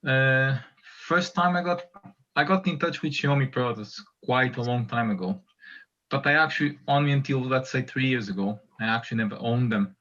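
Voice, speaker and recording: A man's voice: medium pitch, moderate pace, a bit bright, dull audio. A man from Portugal with a Portuguese accent, chatting enthusiastically on a video call as he introduces himself to a community meetup. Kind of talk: casual video call chat.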